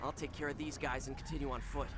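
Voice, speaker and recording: Man as youthful boy hero, cocky, earnest, medium pitch, game cutscene, loud music behind. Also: level tone, fast talker, natural voice. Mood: determined. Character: confident, wisecracking teenage boy hero. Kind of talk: video game cutscene acting.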